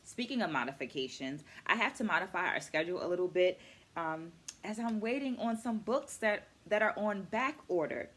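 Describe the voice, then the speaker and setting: A middle-aged woman with a slightly low voice hosts a reading vlog. She speaks conversationally to the viewer, laying out each point in a measured, explanatory manner, much like a teacher. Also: expressive, fairly bright tone. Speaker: host of a book-takeaway video series. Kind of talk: conversational book review to camera.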